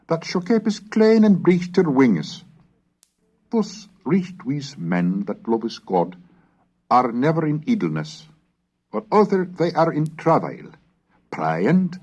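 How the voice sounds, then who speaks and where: A man reading scripted scholarly material aloud in a deliberate, declamatory manner, like a lecturer on a recorded course. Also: a little high, darkish tone. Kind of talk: scripted narration and dramatic reading of Middle English texts. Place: recorded narration on cassette. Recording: dull, lo-fi 1970s cassette recording.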